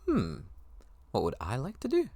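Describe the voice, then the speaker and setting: A man voicing an excitable, affectionate young college best friend in an intimate ASMR roleplay, playful and prone to gushing rambles. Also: mid-range voice, moderate pace, no echo, voice pushed up. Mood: playful, eager.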